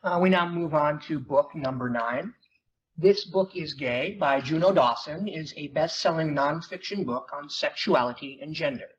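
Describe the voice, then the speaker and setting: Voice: medium pitch, moderate pace. Scripted announcer on a muffled, noisy recording. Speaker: presenter of banned books. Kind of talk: scripted introduction read aloud. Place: live reading at a library event. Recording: dull recording with clear background noise.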